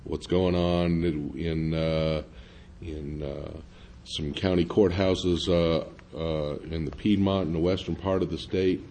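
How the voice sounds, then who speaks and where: Man, panelist at an evening forum, hesitant, deep mellow voice, faint background noise. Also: level tone, slow pace. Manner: hesitant, many fillers and restarts. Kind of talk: public forum discussion.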